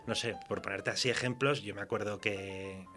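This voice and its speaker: Bright-voiced man from Spain, slightly low. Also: steady tone, moderate pace, soft background music. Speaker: guest writer. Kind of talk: casual interview chat.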